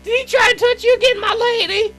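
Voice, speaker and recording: A woman's voice: very high-pitched, fairly even intonation, fairly quick, a bit bright, soft background music, voice pushed up. A woman voicing a fussy, devoted magical attendant in a visual novel, prim and snippy but deferential to a lady. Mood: protective, scornful.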